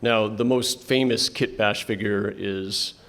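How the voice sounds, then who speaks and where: A man's voice: on the low side, steady tone, takes it slowly, fairly bright tone. A man moderating a convention panel presentation, talking conversationally and handing off to co-presenters. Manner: conversational, lots of restarts, hands off to co-presenters. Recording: reverberant hall.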